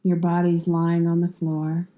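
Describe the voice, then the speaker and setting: A woman guides a relaxation practice as a yoga instructor. Her voice is fairly low and extremely mellow. She speaks very slowly and calmly, leaving long pauses between soothing, measured instructions. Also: lo-fi sound. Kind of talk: guided meditation, scripted slow instructions.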